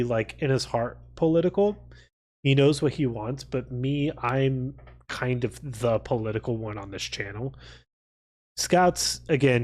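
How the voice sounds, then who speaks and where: Guy streaming, slow talker, rambles and swears a lot, casual chat. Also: mid-range voice. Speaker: livestream host.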